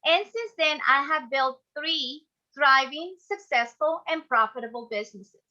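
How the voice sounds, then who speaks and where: Bright, high-voiced Ecuadorian-accented woman presenting. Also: lively intonation, unhurried. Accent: Ecuadorian Spanish. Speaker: speaker, business coach and class host. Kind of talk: motivational online class presentation. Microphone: video call. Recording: dull, lo-fi online call audio.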